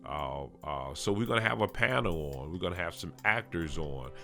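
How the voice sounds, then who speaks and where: A man hosting a podcast, talking casually to live viewers in an earnest, halting way with stammered restarts and rhetorical questions. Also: deep voice, expressive, bright, forceful tone, faint music behind. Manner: halting, repetitive phrasing with frequent stammered restarts. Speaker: podcast host.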